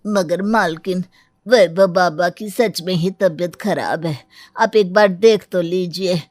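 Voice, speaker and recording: Woman playing a slow, pleading male servant. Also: fairly low pitch, a little mellow, no echo, clean studio sound, soft background music. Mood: worried, pleading. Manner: deferential, pleading. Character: concerned, respectful male household servant. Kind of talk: Hindi animated story voice acting.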